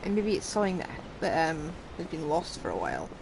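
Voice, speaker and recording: Woman game streamer, casual commentary, musing, lively intonation, noticeable background noise. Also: low voice, fairly quick, creaky.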